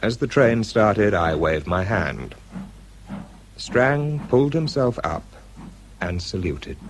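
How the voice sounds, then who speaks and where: British male as a worn-out, introspective wartime expert; very low voice, understated radio drama delivery, noisy recording. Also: lively intonation, slow. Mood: weary, reflective. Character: exhausted, self-doubting man, a wartime bomb disposal expert. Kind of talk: British radio drama, first-person narration and dialogue.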